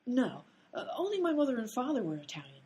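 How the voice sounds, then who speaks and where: A high, very animated vaudeville dancer voiced by a woman. She is grumbling and exasperated, complaining in the style of an old-time radio comedy. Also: fast, breathy edge, exaggerated voice. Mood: wary.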